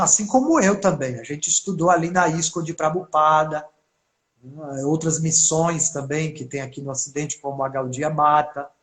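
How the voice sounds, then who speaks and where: A man's voice: fairly high pitch, a bit bright. A man with a Brazilian accent, hosting and giving a devotional talk in an online spiritual interview. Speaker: host of an online spiritual mentoring session.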